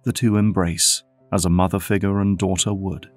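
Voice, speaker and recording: A man's voice: sits low, level tone, normal speed, a little mellow, no echo, soft background music. A man delivering scripted dramatic narration as the narrator of an audio drama.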